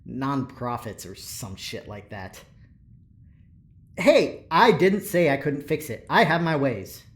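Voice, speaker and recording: An actor playing a tired but eager, easygoing young male researcher in an audio drama, chatty, expressive and a little hesitant. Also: mid-range voice, takes it slowly. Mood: confident. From New Jersey. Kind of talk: naturalistic audio drama acting.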